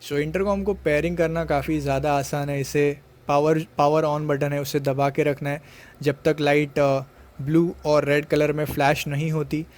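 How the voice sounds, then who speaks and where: A man narrates an unboxing and review in a somewhat high voice. His intonation stays very level and unanimated. He explains each step methodically and drops English words into his speech. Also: little pitch movement, moderate pace, darkish tone. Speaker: product reviewer.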